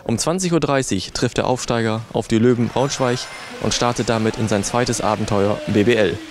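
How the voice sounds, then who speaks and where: A man's voice: medium pitch, fairly quick, over music. A middle-aged man delivering a scripted sports news report as a reporter.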